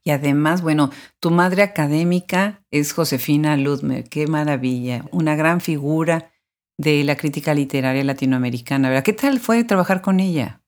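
A woman's voice: sits low, normal speed. A woman interviewer who gives effusive, encouraging praise and asks her guest questions. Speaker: interviewer, host.

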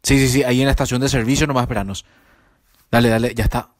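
A man plays a young Paraguayan student in a radio play. His voice is mid-pitched and his delivery is casual and offhand, that of a laid-back skeptic. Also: steady tone, quick pace, no room sound, studio quality, close to an everyday voice. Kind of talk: audio drama dialogue.

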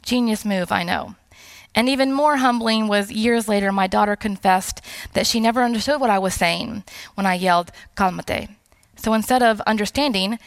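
Woman preacher in her forties, South Texas roots, calm and wry. Bright-ish voice, dry close sound. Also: fairly high pitch, no echo. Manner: calm, soft-spoken, slow deliberate emphasis with wry asides. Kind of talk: sermon.

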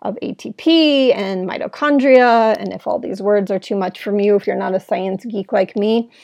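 A woman hosting her own podcast, talking in an earnest, rambling way with a gravelly, smoke-irritated throat. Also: medium pitch, moderate pace, darkish tone, dry recording, dull audio. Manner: earnest, rambling, with frequent "right?". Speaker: podcast host. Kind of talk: reflective solo podcast talk. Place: at home indoors.

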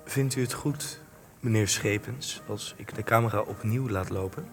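A man plays a polite, persistent documentary interviewer in a radio drama. His voice is fairly low and carefully formal, with long reverb around it and faint music underneath. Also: normal speed, natural voice. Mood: polite, insistent.

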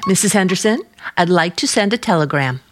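A woman's voice: lowish voice, expressive, a little fast, fairly bright tone, soft background music. An actress playing an eager, idealistic small-town teenage girl in a radio play, earnest and gushing. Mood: earnest, determined.

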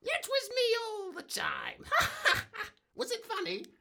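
A man voicing a cheeky, sarcastic talking computer sidekick in a radio drama, deadpan with theatrical flourishes. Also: very high-pitched, slow pace, gravelly, very noisy, full character voice. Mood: teasing.

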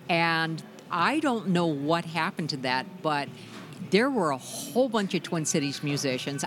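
A middle-aged woman with a deep voice, a musician guest chatting loosely in an interview, full of restarts and "you know". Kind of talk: casual interview chat.

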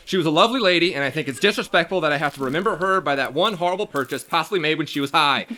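A man's voice: on the high side, racing, bright, forceful tone. A man acting a frazzled, defensive young restaurant worker in a scripted read, rambling and stammering with sarcasm. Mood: bitter, sarcastic. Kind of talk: acted script table read.

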